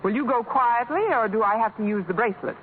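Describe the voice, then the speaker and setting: An actress playing a dry, wisecracking woman schoolteacher in a radio sitcom, tossing off sardonic quips in a deadpan way. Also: on the high side, quick pace, natural voice. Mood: wry. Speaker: schoolteacher deputized as a sheriff. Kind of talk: 1950s radio sitcom acting. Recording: tinny, hissy old radio broadcast.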